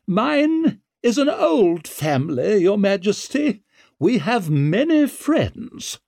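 Man voicing a shrill, imperious aristocratic older mother. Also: sing-song, creaky, no echo, studio quality, full character voice. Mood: haughty, threatening. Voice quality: sharp, shrieking edge. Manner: haughty, drawn-out emphatic delivery.

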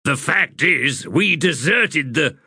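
A man's voice: on the high side, animated, takes it slowly, sharp tone, slightly rough, no echo, clean studio sound, pitched above the performer's own voice, full character voice. A male narrator voicing a crude, sardonic marine sergeant who talks in coarse soldier slang.

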